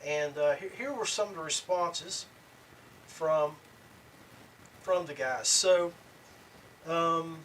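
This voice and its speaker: A man with a slightly high voice hosts a casual podcast on his own. He speaks in a halting way, pausing mid-phrase, and some noise sits behind his voice. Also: a bit bright, low-quality recording. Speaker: podcast host. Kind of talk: casual solo podcast talk.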